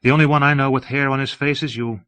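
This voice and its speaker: A man voicing a gentle, affectionate young woman in an audiobook reading. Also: medium pitch, fairly even intonation, quick pace, smooth, no echo, voice pushed up, close to an everyday voice. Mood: gentle, teasing.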